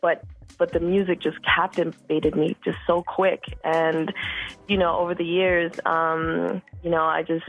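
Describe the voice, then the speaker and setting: A woman in her thirties, from Costa Rica, talking casually as a music guest, relaxed, with many fillers and repeated words. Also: fairly low pitch, measured pace, poor audio. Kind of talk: casual interview chat.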